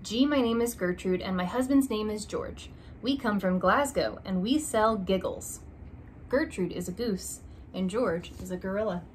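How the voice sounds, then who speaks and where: A young woman reads a children's alphabet book aloud in a small room, taking it slow and deliberate. Her voice sits at an ordinary middle pitch, recorded close on a phone or laptop. A faint hum of room ambience runs underneath. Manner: slow, deliberate reading with stressed opening letters. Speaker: reader of a picture book. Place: small room indoors by a curtained window.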